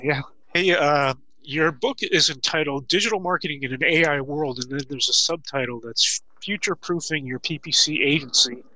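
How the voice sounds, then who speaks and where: Guy hosting a podcast, super bright forceful voice, lots of uh's, dry close mic. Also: fairly high pitch, no echo, poor audio. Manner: friendly, halting, lots of uh fillers. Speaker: podcast host. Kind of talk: casual interview chat.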